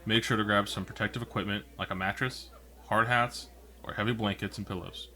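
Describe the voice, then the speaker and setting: A man's voice: lowish voice, fairly even intonation, brisk, sharp tone. A man playing an earnest weather broadcaster, giving scripted emergency safety advice in an emphatic, instructive way. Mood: urgent, pleading.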